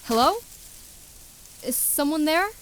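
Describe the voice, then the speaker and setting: Exasperated young woman in a radio drama, kinda sarcastic, slow talker, bit of background noise. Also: high-pitched, expressive. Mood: anxious, calling out. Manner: sarcastic, questioning. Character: exasperated, sardonic young woman, uneasy in a maze. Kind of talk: naturalistic radio drama acting.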